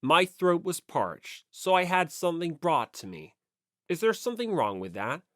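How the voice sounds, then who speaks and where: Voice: mid-range voice, very animated, moderate pace, a bit bright, no room sound, studio quality. An audiobook reader voicing a haughty, imperious teenage heir, curt and condescending. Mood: cool, dismissive.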